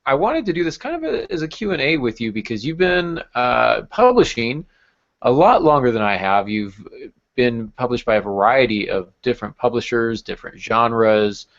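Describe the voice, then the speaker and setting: A man's voice: medium pitch, sing-song, normal speed, vocal fry, a bit bright. A man hosting a casual podcast, joking and rambling a little as he talks. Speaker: podcast host. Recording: dull lo-fi podcast audio.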